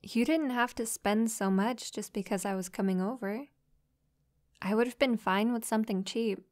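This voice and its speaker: Woman acting a sweet, clear-voiced, unhurried succubus. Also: fairly high pitch, close to an everyday voice. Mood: gentle, grateful. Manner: soft, playful, sweetly inquisitive. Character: friendly, curious young female succubus new to human life. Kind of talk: intimate roleplay audio drama, addressed to the listener.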